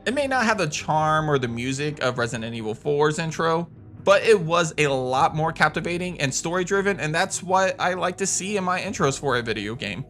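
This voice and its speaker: A man's voice: fairly high pitch, animated, fairly quick, over music. A man hosting a video countdown, giving scripted commentary on video games. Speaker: video host.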